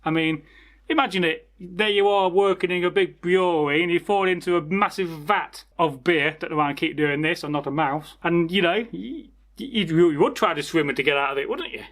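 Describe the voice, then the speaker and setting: A man's voice: a little high, normal speed, fairly bright tone. A man telling a folktale informally as a storyteller, chatty and rambling, with asides to his listeners. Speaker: storyteller, channel host.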